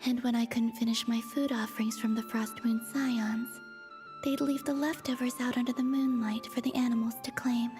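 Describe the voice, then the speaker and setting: A woman voicing an aloof, wistful moon goddess in a video game, speaking softly and formally in a breathy, contemplative inner monologue. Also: a little high, fairly even intonation, normal speed, soft background music, lightly acted. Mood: wistful, wondering.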